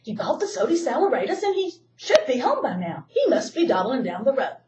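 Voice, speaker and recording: A woman voicing a friendly shopkeeper in a children's story, hearty, loud and cheerful, recorded from a distance in a roomy space. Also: a little high, sing-song, fairly quick. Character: friendly old male shopkeeper. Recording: roomy echo, distant mic.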